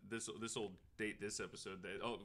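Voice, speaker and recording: A man's voice: fairly low pitch, little pitch movement, brisk, a bit bright. A man co-hosting a casual podcast, bantering and repeating himself for emphasis. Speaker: podcast co-host. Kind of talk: casual chat.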